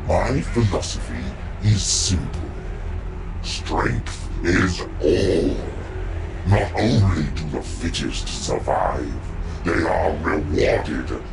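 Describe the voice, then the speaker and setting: A man playing a ruthless, proud war god in a video game, declaiming in a grandiose, deliberate way with a gravelly voice. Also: sits low, lively intonation, music in the background, full character voice, low-quality recording. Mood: commanding, grand. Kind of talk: dramatic video game character monologue.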